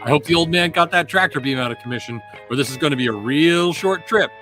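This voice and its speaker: Guy doing a cocky wisecracking space smuggler, sharp lively voice a bit high, punchy, table read w light music. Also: fast talker. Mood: tense, commanding. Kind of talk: amateur table read of a film script.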